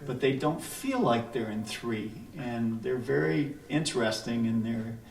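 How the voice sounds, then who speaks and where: A man making relaxed, rambling stage banter between songs at a house concert, full of restarts as he introduces a tune. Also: mid-range voice, measured pace, gravelly, a little hiss or hum. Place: living room at a house concert. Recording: roomy, distant, faint music.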